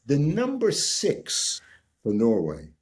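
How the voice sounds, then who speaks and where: An older American man telling a long anecdote in conversation, lively and husky, often checking in with "right?". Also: fairly high pitch, takes it slowly. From United States. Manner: animated raconteur, repeats "right?", acts out quoted voices. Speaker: guest. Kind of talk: storytelling in conversation.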